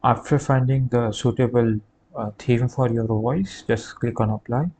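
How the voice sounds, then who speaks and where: A man giving a halting, hesitant step-by-step software tutorial over a screen recording. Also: medium pitch, fairly even intonation. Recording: clear background noise.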